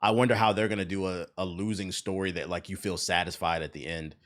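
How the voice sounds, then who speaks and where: A man's voice: low voice, steady tone, fast talker, a bit bright. An American man doing casual live-stream reaction commentary in a slangy, profane way. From US East Coast. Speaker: streamer reacting to game trailers.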